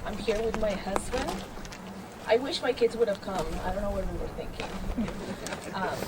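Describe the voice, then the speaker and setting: A woman's voice: mid-range voice, expressive, moderate pace. A woman from Peru giving a fluent, earnest, rambling speech as a new citizen. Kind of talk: short public speech. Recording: background noise.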